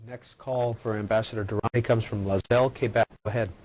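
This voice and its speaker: A man's voice: lowish voice, steady tone, quick pace, a little mellow, poor audio. A man hosting a live broadcast call-in program and introducing callers. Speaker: call-in show host.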